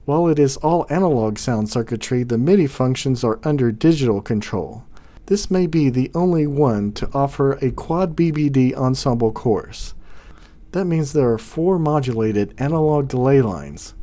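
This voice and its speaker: A male reviewer narrates a scripted product review from his home studio. His tone is mellow and soft-edged, and his phrasing is casual and folksy. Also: medium pitch, animated, normal speed.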